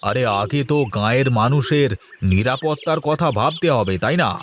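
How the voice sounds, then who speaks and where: A man voicing villagers in a comic folktale cartoon, with a drawn-out, theatrical delivery. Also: medium pitch, expressive, a little hiss or hum, natural voice. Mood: genial, authoritative. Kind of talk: exaggerated cartoon voice acting.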